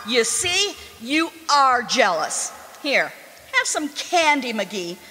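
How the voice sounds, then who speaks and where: A woman's voice: high voice, big pitch swings, slow pace, sharp tone, breathy edge, heavily acted. A woman playing a coy, teasing, sweetly needling wife in old-time radio comedy style. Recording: hall reverb on a live stage.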